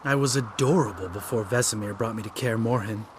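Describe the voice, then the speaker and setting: An actor playing a younger monster hunter in a fantasy video game, cynical and bitter, with a blunt, sardonic manner. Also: male voice, lowish voice, a little fast, slight background noise, natural voice.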